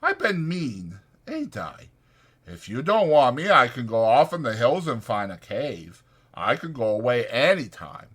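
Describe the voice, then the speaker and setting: A man's voice: mid-range voice, wildly swooping pitch, measured pace, fairly bright tone, breathy edge, voice pushed up, exaggerated voice. A man voicing a timid, childlike, simple-minded man who pleads naively in simple rural American speech. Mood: sulky, crafty.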